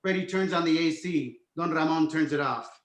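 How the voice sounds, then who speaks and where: Male narrator, fairly high pitch, roomy echo, scripted stage directions. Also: moderate pace. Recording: echoey room.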